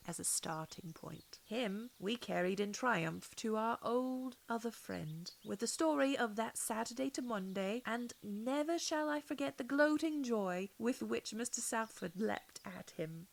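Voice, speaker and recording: High-voiced woman reading a storybook, slightly creaky. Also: a little hiss or hum. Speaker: audiobook reader. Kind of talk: scripted storybook narration.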